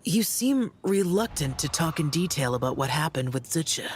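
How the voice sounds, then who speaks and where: Actor as a gentle caring game companion, soft-spoken, perceptive, mid pitch, faint noise behind. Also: lively intonation, moderate pace, close to an everyday voice. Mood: reassuring. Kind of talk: scripted game voice acting.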